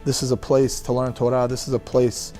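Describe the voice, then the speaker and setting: A man's voice: medium pitch, completely flat delivery, fairly quick, dark tone. Regular mid-range guy sharing a personal testimonial, faint music behind. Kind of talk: promotional video testimonial.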